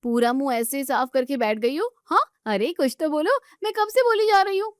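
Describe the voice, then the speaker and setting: Woman as a high-voiced, doting young sister-in-law. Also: moderate pace, no room sound, clean studio sound. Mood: teasing, eager. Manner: animated, fussing, affectionate.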